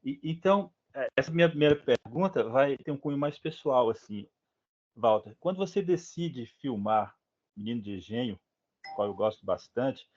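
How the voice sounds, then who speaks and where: A man's voice: medium pitch, expressive, moderate pace, darkish tone. A man in the audience asking a question politely and deferentially. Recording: dull lo-fi recording.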